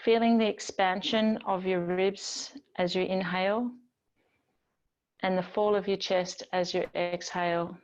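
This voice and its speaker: A woman teaching yoga, guiding relaxation in a gentle, soothing, unhurried manner with long pauses between phrases. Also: medium pitch, steady tone, lo-fi sound. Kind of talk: guided yoga and relaxation instruction.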